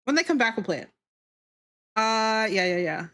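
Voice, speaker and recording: A woman's voice: a little high, expressive, moderate pace, a bit bright. A woman livestreamer chatting casually with her viewers in a playful, teasing, giggly way, swearing freely. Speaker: streamer, VTuber. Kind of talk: casual livestream chat with viewers while drawing.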